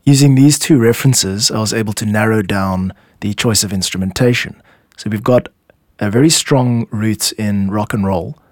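Low-voiced man hosting in a dry studio. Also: moderate pace, darkish tone, dry recording. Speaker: podcast host and music composer. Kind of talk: scripted explanatory narration.

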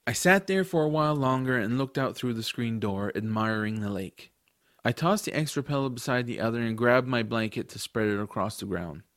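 A man playing a curious, adventurous young boy who narrates his own story in an expressive, earnest audiobook style. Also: mid-range voice, no echo, studio quality, lightly acted. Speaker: narrator and lead character.

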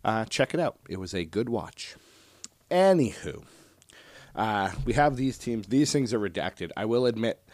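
Male solo podcast host, low soothing voice, easygoing and chatty, dry booth sound. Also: unhurried, no echo. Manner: relaxed, digressive, frequent "uh" and "um". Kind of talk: casual solo podcast talk.

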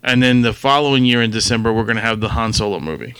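A man's voice: mid-range voice, quick pace, a bit bright, clean tone, dry recording. A man co-hosting a podcast, bantering casually about movies with informal, sweary talk. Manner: informal, profane. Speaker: podcast co-host.

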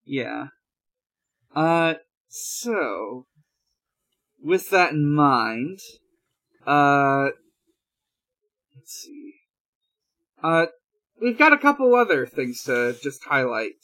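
A man co-hosts a casual podcast in a bright, keen-edged voice. He speaks very slowly, feeling his way through each thought with hesitant pauses. The tone is conversational, like someone sharing news with friends. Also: on the high side, very animated. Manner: halting, hesitant delivery with drawn-out pauses. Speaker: podcast host.

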